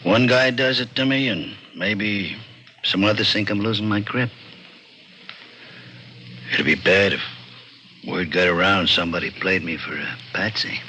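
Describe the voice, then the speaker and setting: A man's voice: on the low side, a little slow, long pauses, bright, forceful tone. An actor playing a coolly mocking, streetwise gangster boss in 1940s radio drama style, with taunting, slangy tough-guy talk. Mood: mock regret. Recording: noisy old-time radio recording.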